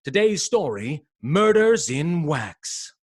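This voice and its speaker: An actor playing a portentous, emphatic narrator introducing an old-time radio mystery serial. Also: male voice, a little high, big pitch swings, slow, heavily acted. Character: dramatic male narrator of vintage mystery serial.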